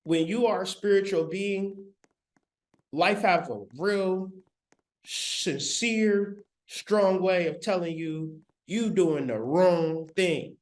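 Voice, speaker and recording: A man's voice: high-pitched, slow pace. A man giving a live-streamed spiritual lecture. He talks in an emphatic, repetitive, colloquial way, straight to his viewers, on a laptop mic. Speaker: spiritual teacher.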